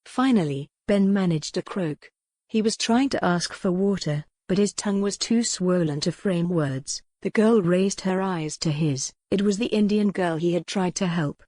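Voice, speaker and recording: Low-pitched woman narrating a novel, dry sound. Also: moderate pace, a little mellow, no room sound. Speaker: audiobook narrator. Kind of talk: scripted dramatic narration of a historical romance novel.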